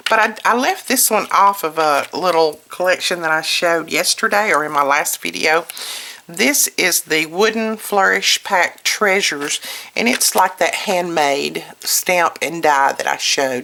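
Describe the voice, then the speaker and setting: A hoarse woman recovering from illness, hosting a casual craft product showcase and chatting as she handles each item. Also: lowish voice, sharp tone. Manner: unhurried, chatty, commenting piece by piece. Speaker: host of a craft product video. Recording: clear background noise, paper crinkling and clicks. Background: crinkling paper and packaging.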